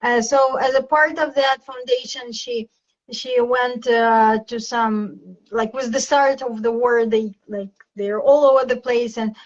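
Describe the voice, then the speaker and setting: A woman's voice: fairly high pitch, steady tone, unhurried. A woman from Ukraine with a Ukrainian accent, speaking casually and hesitantly as she searches for words in a conversational interview. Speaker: interview guest, teacher. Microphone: video call. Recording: dull lo-fi livestream audio.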